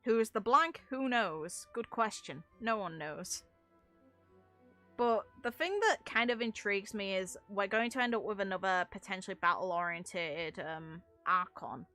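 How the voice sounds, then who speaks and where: A woman's voice: medium pitch, expressive, measured pace, pushed and bright, soft background music. A woman giving casual, rambling commentary on game lore, informal and a little sweary, with wry asides. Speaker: gaming video creator discussing game lore.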